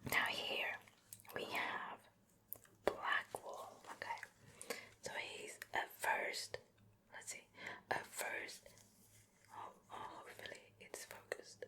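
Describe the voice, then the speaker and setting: A native Swedish-speaking woman whispering a soft, breathy ASMR show and tell in a casual, rambling, hesitant way. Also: takes it slowly, pauses often. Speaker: ASMR video host.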